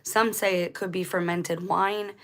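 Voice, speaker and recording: Low-voiced woman with a scratchy throat. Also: steady tone, measured pace, fairly bright tone. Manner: rambling, self-correcting, conversational. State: scratchy, dry voice. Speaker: channel host. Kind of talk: casual commentary vlog.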